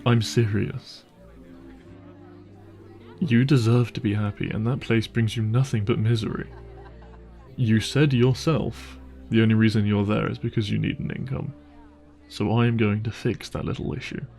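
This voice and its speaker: A man acts out a devoted, apologetic boyfriend in an intimate audio roleplay. His voice is low with a gravelly creak, and he speaks tenderly and sincerely, phrase by careful phrase, over faint music. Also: steady tone, moderate pace, a little mellow. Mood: earnest, resolute. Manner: earnest, tender, hesitant phrasing with sighs. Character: apologetic, devoted, secretly wealthy boyfriend on a dinner date. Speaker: voice actor.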